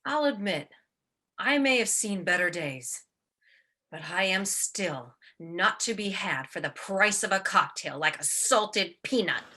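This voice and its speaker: A proud, wounded star actress of the stage played by a woman in a radio drama. Her voice is husky and forceful, swinging widely in pitch. She speaks with theatrical sarcasm and heavy emphasis. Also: animated, a little slow. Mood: wounded pride. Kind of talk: radio play acting of classic film dialogue.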